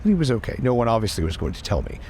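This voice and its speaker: A man's voice: mid-range voice, big pitch swings, very fast, a little mellow, slight background noise, natural voice. A man playing a deferential adventurer lord in improvised tabletop roleplay, polite and hesitant as he talks. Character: deferential, courteous adventurer lord serving his queen. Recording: faint music behind.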